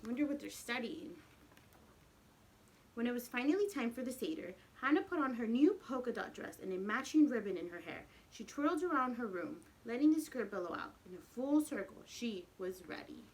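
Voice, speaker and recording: A woman's voice: on the high side, very animated, brisk, low-quality recording. A woman reading a picture book expressively to young children as their teacher, speaking to them directly. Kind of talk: animated storybook read-aloud with questions to the children.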